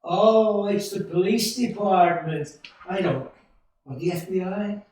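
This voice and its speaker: Radio play lead: woman as overjoyed husband and father, effusive and exclamatory, unhurried pace, distant in a very echoey hall. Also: mid-range voice, mellow, natural voice. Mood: overwhelmed relief. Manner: exclamatory, effusive, breathless repetitions. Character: elated, loving husband and father, newly grateful for life. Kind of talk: live radio play acting.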